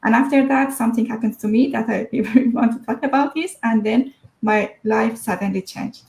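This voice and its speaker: A woman's voice: a little high, normal speed, gravelly, a little mellow, reverberant, rough recording. A woman, telling her personal story as an interview guest, hesitant and full of fillers. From Iran.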